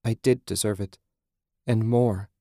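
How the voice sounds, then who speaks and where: A man's voice: on the low side, steady tone, a little slow, dark tone, no echo, studio quality. A man voicing a self-loathing, beaten-down mother in an audiobook, confessing and pleading. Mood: broken, ashamed. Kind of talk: audiobook character acting.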